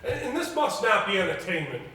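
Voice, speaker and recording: Very echoey and distant from the mic, a man plays a reluctant, earnest gentleman on stage. His high voice is hesitant and troubled, stumbling a little as he speaks. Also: sing-song, brisk, slight background noise, heavily acted. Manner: hesitant, stammering, protesting. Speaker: stage actor. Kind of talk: theatrical stage dialogue.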